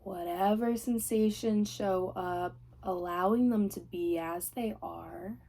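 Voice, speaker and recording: A woman with a soft-edged, relaxed tone guides a body scan meditation. Her delivery is very slow and soothing, with gentle instructions at a medium pitch. She sounds like a yoga teacher leading a practice. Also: gravelly.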